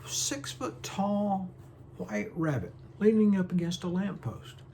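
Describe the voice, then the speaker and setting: A man plays a gentle, dreamy eccentric from a small town in a theatrical film monologue. He is courteous and folksy, rambling slowly and hesitantly, with a pitch that rises and dips freely. A faint hum sits behind the voice. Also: sing-song, heavily acted. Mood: quietly wondering.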